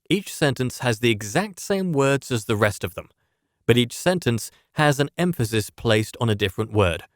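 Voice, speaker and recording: A man's voice: mid-range voice, dry recording. Dry-recorded man narrating a lesson. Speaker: public speaking coach, presenter. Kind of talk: scripted instructional narration.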